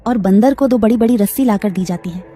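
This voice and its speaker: A woman's voice: medium pitch, brisk, warm tone. Woman narrating kids' story over music. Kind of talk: scripted children's story narration.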